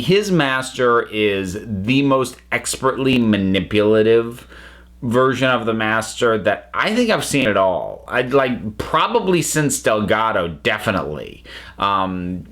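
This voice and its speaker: A man's voice: mid-range voice, fairly bright tone. A man with a mid-range voice talks as a reviewer hosting his own channel. His delivery is casual and conversational, and he thinks aloud, often breaking off to rephrase a point. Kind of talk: casual spoken audio drama review.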